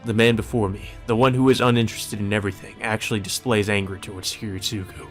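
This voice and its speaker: A man's voice: fairly low pitch, steady tone, quick pace. Man reading visual novel narration aloud over music.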